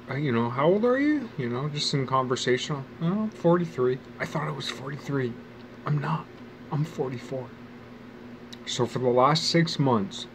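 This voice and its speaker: Casting note: man in his forties, medium pitch, casual profane vlog ramble with faint background hum. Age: 40s. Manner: casual, profane, wry, musing aloud. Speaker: vlogger. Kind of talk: rambling personal monologue. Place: outdoors near a bench.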